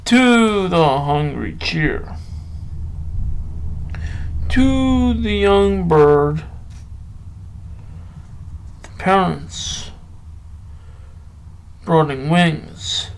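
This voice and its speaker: Very slow-talking, halting man. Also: high voice, darkish tone, smooth. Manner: halting, drawn-out phrases with long pauses. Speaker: podcast host reading poetry. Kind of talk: rambling solo talk and poem reading.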